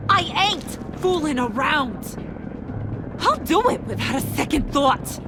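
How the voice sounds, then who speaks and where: A woman's voice: high voice, animated, takes it slowly, background noise, heavily acted. An actress voicing a volatile, brassy female criminal in a video game. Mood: cocky, menacing. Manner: sassy, slangy, theatrical taunting.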